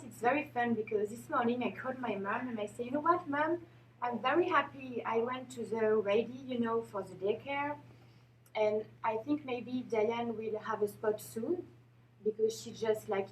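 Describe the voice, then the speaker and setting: Halting French-accented woman in an echoey hall. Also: on the high side, a little hiss or hum. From France. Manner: halting, searching for English words. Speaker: panel speaker. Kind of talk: personal testimony at a community event. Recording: echoey hall, speaker far from mic.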